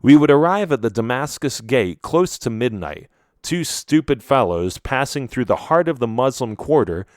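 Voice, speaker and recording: A middle-aged man from Jerusalem, a native Arabic speaker, reading his own memoir in English in a measured, earnest way. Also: mid-range voice, no echo, clean studio sound. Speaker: author narrating his memoir. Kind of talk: audiobook memoir narration.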